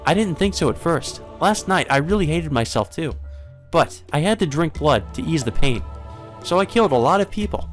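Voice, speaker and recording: Man voicing a cheerful, menacing vampire girl, pitched up. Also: lively intonation, normal speed, faint music behind, close to an everyday voice. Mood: confessional. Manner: pleasant, delighted delivery of grim words. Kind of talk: visual novel character reading.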